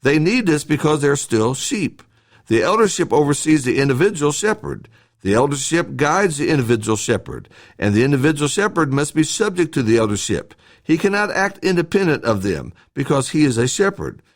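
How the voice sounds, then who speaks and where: Man reading a devotional, widely varied pitch. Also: sing-song, moderate pace. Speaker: church podcast host reading his devotional. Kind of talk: scripted devotional talk, read aloud.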